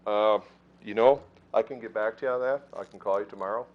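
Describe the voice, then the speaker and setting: A middle-aged man who runs an ambulance service, answering questions at a council meeting in a steady, reassuring way with frequent fillers. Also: lowish voice, a little fast, highs cut off.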